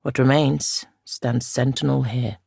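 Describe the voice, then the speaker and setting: Soft, creaky ancient spirit guardian. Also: female voice, a bass voice, steady tone, dry recording, clean studio sound, exaggerated voice. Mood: solemn, aloof. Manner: solemn, measured, archaic formal phrasing. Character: ancient female spirit guardian, solemn, weary, formal. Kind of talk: video game character dialogue.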